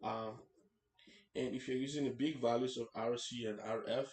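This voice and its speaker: A man teaching a hands-on technical tutorial in an informal way, haltingly, often repeating and rephrasing his words. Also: mid-range voice, fairly even intonation, a little mellow. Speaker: instructor hosting an electronics tutorial series. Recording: echoey room, faint background noise.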